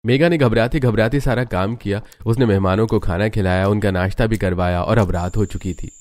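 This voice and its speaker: A man's voice: fairly low pitch, a little fast, a little mellow, slight background noise. A man reading scripted narration for a cartoon family story.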